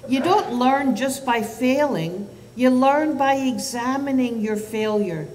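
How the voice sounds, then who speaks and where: A Scottish woman in her sixties, a physician giving a public talk to parents at a lectern microphone, animated and asking the audience questions. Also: sits high, slow pace. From Scotland. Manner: animated, gestures with both hands, asks the audience questions. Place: lecture hall at a lectern. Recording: roomy hall sound from a distance.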